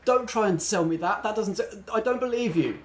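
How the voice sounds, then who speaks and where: A man's voice: high-pitched, normal speed, voice pushed up, natural voice. A man voicing a scared, suspicious security guard in a tabletop game, with an agitated, challenging manner. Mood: suspicious, accusing. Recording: roomy sound with music behind.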